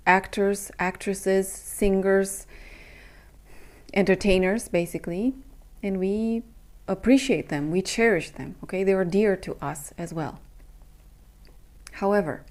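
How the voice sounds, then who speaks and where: A young adult woman from Romania, a coach, speaking earnestly in a halting personal monologue with many pauses and fillers. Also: fairly low pitch, a little mellow. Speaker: intimacy and breathwork coach.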